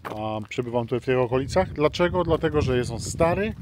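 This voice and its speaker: A man with an average-pitched voice talks to viewers in a casual vlog style. He explains things and repeats phrases for emphasis. Outdoor and vehicle noise is clearly audible behind him. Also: expressive, quick pace. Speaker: travel vlogger. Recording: outdoor wind and traffic noise.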